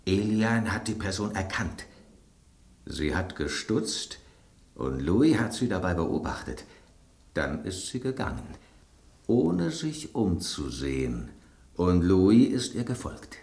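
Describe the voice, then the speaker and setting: Aging talking bread, low-pitched animated voice, self-assured gourmet, suspicious, theatrical, radio drama, roomy sound. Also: male voice, slow, vocal fry, heavily acted. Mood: watchful. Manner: theatrical, pointed, knowing. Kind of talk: German radio drama acting.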